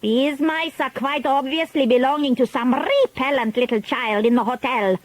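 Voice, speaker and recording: A woman's voice: high-pitched, normal speed, dry recording, close to an everyday voice. A woman voicing a scheming, pompous old witch leader who talks in grandiose broken grammar. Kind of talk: storybook reading.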